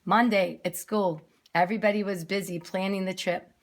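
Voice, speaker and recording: A woman's voice: medium pitch, fairly even intonation, normal speed. A woman reading a story aloud expressively to young children, as a nursery teacher who explains things simply.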